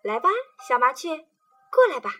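A woman's voice: sits high, sing-song, soft background music. Woman voicing kind children calling birds in. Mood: welcoming. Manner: warm, brisk and inviting.

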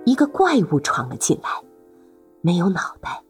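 A woman's voice: on the low side, wildly swooping pitch, normal speed, soft background music. A woman narrating a serialized fantasy audio drama as its host, in a dramatic scripted storytelling style.

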